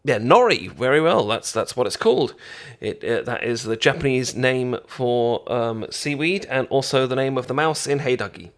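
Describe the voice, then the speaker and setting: A British man acting out an eager, friendly best friend in a casual ASMR roleplay, with a halting, stammering, rambling way of talking. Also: medium pitch, expressive, lightly acted. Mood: chatty, enthusiastic. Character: friendly, eager young male best friend teaching sushi-making.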